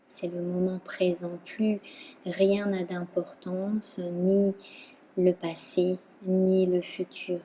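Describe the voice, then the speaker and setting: A woman instructor guiding a relaxation meditation in a calm, soothing manner, leaving long pauses between phrases. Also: lowish voice, a little hiss or hum, poor audio. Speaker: yoga nidra instructor.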